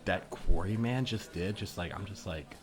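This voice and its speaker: Man playing a low-voiced, self-absorbed flirty woman. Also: normal speed, crowd noise, lightly acted. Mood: earnest, overwhelmed. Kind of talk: improvised comedy dialogue. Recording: noisy remote recording.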